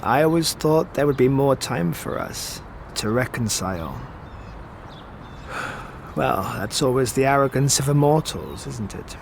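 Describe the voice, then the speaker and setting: An actor playing a wistful, evasive immortal man in an audio drama, speaking with theatrical eloquence that turns halting when he is pressed. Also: mid-range voice, expressive, gravelly, slight background noise. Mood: grieving, bitter.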